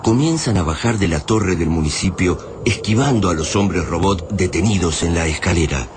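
A man with an Argentine accent acting as the grave, deliberate narrator of a science-fiction radio serial. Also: low voice, lightly acted. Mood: grave, ominous. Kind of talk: dramatic scripted radio narration. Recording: clearly noisy background ambience.

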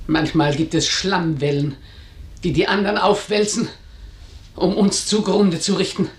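A woman's voice: fairly low pitch, measured pace, close to an everyday voice. An actress playing a stern, tyrannical mother in a radio drama, with a commanding, imperious manner. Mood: imperious, severe. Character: stern, tyrannical mother ruling her household. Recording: roomy, echoing studio sound.

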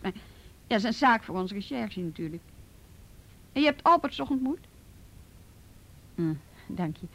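An actress with a Netherlands Dutch accent playing a brisk, firm policewoman who handles youth cases in a radio drama. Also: fairly high pitch, heavily acted. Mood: brisk, friendly. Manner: assertive, businesslike.

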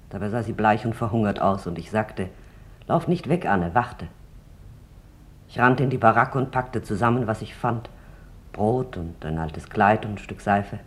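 A performer playing a bitter woman from Amsterdam who gives testimony in a radio drama, recalling it haltingly and intensely. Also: medium pitch, natural voice. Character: bitter adult woman from Amsterdam, camp witness.

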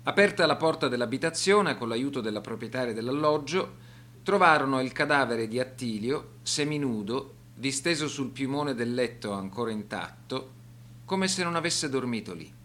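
Man narrating a radio docudrama, factual and formal, careful phrasing, average pitch. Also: animated, moderate pace, a bit bright. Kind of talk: scripted documentary narration for radio drama.

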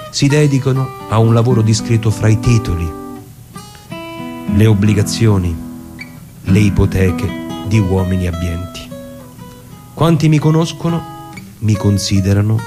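A man playing a prudent, unambitious elderly lawyer in a formal, deliberate literary monologue with pauses. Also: fairly low pitch, natural voice. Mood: calm, deliberate. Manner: measured, deliberate, pausing, formal. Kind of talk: literary dramatic monologue reading over music. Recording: radio reading with loud guitar music. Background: plucked guitar music.

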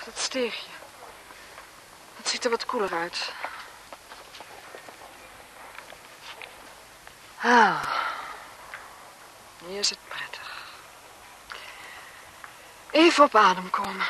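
An actress playing a bored, impatient, coquettish aristocratic lady in a 1970s radio drama, with languid, theatrical sighing delivery. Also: medium pitch. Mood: overheated, breathless. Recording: hissy 1970s radio play recording.

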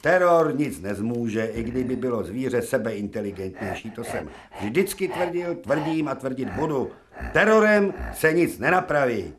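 An actor playing an authoritative professor of medicine in a radio drama, gruff yet kindly, speaking in commanding, pompous pronouncements. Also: male voice, mid-range voice, sing-song, a little hiss or hum, close to an everyday voice. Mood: pontificating.

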